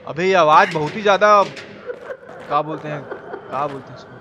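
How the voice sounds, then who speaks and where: A man's voice: a little high, moderate pace. A man with a Bihari accent giving casual, slangy gameplay commentary as a live streamer, cursing and repeating himself as he chats with viewers. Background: game music.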